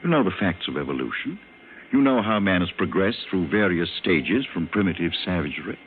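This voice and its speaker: On a thin, faintly noisy old radio recording, a superior evolved doctor. His lowish voice swoops dramatically as he explains himself with lofty, patient condescension. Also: very animated, narrow, tinny audio. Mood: lofty lecturing. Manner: lofty, didactic, deliberate.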